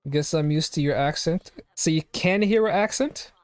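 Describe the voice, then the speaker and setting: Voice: mid-range voice, moderate pace, clean tone, no room sound. A live streamer chatting casually to viewers, rambling and firing off questions to chat. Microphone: home streaming microphone.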